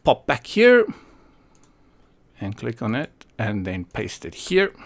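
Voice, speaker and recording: Male tutorial presenter from South Africa, mid-pitched, casual and playful, thinks aloud and gives frank opinions. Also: huge pitch swings, slow, vocal fry.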